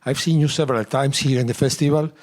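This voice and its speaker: A man from Argentina, a journalist, asking a question haltingly, searching for words. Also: medium pitch, steady tone.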